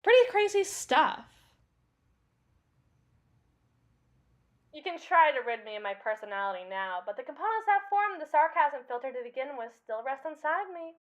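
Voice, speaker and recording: A woman's voice: high-pitched, big pitch swings, a little fast, few pauses. A woman playing a haughty, sarcastic evil female robot with a pompous, wordy, theatrical manner in an audio roleplay. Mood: sarcastic, smug. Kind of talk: ASMR audio roleplay monologue.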